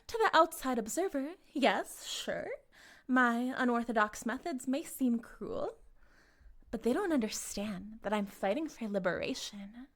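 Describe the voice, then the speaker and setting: Slow, grandiose female cult leader, played by an actress. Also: on the high side, expressive. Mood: self-righteous. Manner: pompous, articulate, condescendingly persuasive. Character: charismatic, grandiose female cult leader, smugly menacing captor. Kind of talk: scripted dramatic audio roleplay monologue.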